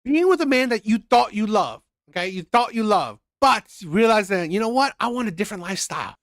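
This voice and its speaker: Emphatic man, lively pitch, dry close mic. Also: fairly quick, dry recording. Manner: emphatic, repeats phrases for effect. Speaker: commentary channel host. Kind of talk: opinion commentary monologue.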